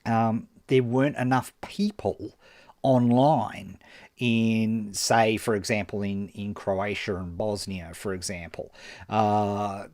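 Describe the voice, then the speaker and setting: A man answering viewer questions on a live stream as a marketing coach, animated and emphatic, often restarting his sentences. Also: fairly low pitch, slow pace, no echo, clean studio sound. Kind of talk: live-stream Q&A, casual explaining.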